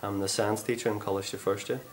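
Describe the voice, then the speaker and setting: A man's voice: on the low side, level tone, fast talker. A man, a science teacher speaking casually, a little flustered, in his classroom. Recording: noisy classroom room tone.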